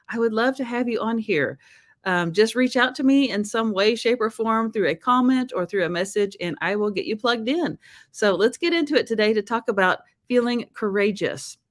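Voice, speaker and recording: A woman's voice: on the high side, dry recording, studio quality. A woman from Oklahoma with a strong Southern accent, hosting a casual video chat in a chatty, upbeat way. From Oklahoma, USA. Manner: chatty, upbeat, laughs often. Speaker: show host.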